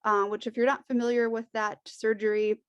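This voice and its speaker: A woman in her thirties from Washington State, a teacher, explaining clearly in a casual podcast chat. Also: a little high, level tone, a little fast, no echo, muffled recording. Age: 30s.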